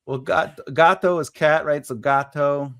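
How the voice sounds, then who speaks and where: A man's voice: fairly high pitch, a little slow, a bit bright, smooth, no room sound, clean studio sound. A man with a Long Island accent hosting a podcast, chatting playfully and animatedly with his guest. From Long Island, New York. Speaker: podcast host. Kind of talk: casual conversation.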